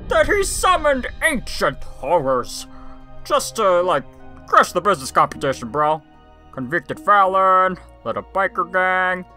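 Woman playing a low, theatrical bro villain. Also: very animated, a little slow, pushed and bright, soft background music, heavily acted. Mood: reverent, dramatic. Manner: dramatic storytelling dotted with bro slang. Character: theatrical male villain handyman with surfer-bro slang. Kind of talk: fan cartoon voice acting.

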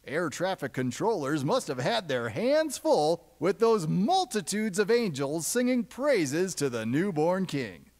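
A man voicing an animated, exasperated radio DJ puppet with theatrical reactions. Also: sits high, a little slow. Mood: smooth announcing. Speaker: radio DJ host. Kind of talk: comic puppet-show radio patter.

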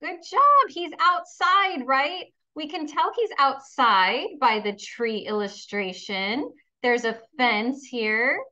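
Female kindergarten teacher, unhurried and bright, encouraging read-aloud delivery for little ones, slightly lo-fi sound. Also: sits high, expressive. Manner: encouraging, instructional, addressing young pupils with questions. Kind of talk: children's read-aloud lesson.

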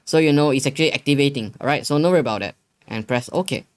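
Halting young man giving a casual tutorial. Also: medium pitch. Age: young adult. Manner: repetitive, halting explanations with non-native English phrasing. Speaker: tutorial host. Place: living room gaming setup at home.

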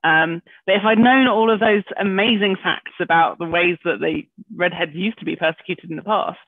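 A man's voice: sits high, moderate pace, creaky, no echo. A man hosting an online talk night over a video call, chatty and joking about himself. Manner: chatty, self-deprecating humour. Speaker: host. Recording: dry, tinny phone-like sound.

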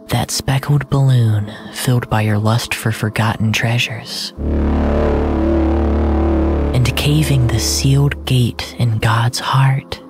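A narrator voicing Mother Nature as an ominous, tender seer in a breathy whisper, in an audio drama. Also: mid-range voice, natural voice.

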